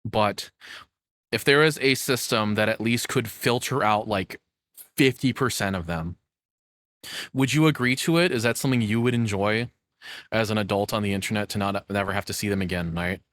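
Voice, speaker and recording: A man's voice: lowish voice, moderate pace, a bit bright, no room sound. A young adult man doing casual reaction commentary as a content creator, rambling, sarcastic and freely swearing.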